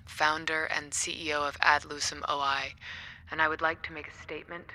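Sharp, flat-toned formal CEO. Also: female voice, sits low, rough recording. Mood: formal, composed. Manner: measured, formal, restrained. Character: stiff, formal woman tech CEO making public statement. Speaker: founder and CEO. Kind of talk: formal prepared statement.

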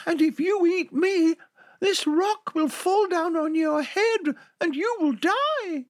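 A storyteller voicing a clever little female lamb who pleads in a sweet, high voice in a children's story. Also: slow pace, dry recording, clean studio sound. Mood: pleading sweetly.

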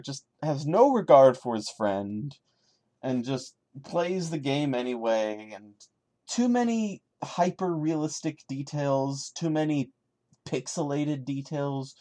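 A man's voice: mid-range voice, a little mellow. A man hosting a podcast, giving casual commentary on his own in an emphatic, mock-exasperated manner. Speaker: podcast host. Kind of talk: casual solo commentary and dramatic reading.

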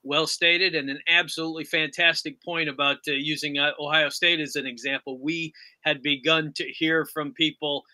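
A man's voice: fairly high pitch, fairly even intonation, normal speed, hardly any pauses, bright, forceful tone. A man hosting a sports talk interview, putting questions and comments to his guest. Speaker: sports podcast interviewer, host.

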